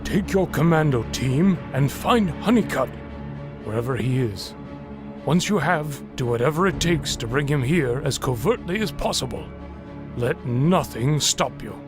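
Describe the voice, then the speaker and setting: A man's voice: mid-range voice, lively intonation, unhurried, lightly acted. A man playing a grave, regal king of a besieged world in an audio drama, speaking in solemn, declamatory phrases. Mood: commanding. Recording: music behind the voice.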